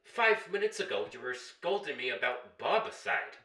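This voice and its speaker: A man playing a nervous, sarcastic, put-upon barbershop employee in a radio play, with an incredulous, deadpan manner. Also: medium pitch, moderate pace. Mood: incredulous, wary. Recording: roomy, echoing, slightly distant mic.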